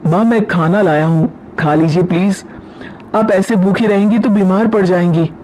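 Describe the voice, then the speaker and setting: Gentle, devoted grown stepson, acted by a storyteller. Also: medium pitch, normal speed, a little hiss or hum, natural voice, low-quality recording. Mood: coaxing.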